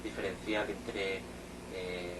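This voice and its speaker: Hesitant low-voiced male interviewer, far off. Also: flat delivery, slow pace. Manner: hesitant, many fillers while forming questions. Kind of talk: interview questions. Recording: distant mic with loud hiss.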